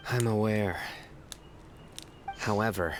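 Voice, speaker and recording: Low-voiced, solemn judge. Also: male voice, slight background noise. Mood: grave. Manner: terse, grave, measured. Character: stern, solemn young male judge, restrained and intense. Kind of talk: video game voice acting. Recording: game audio under music.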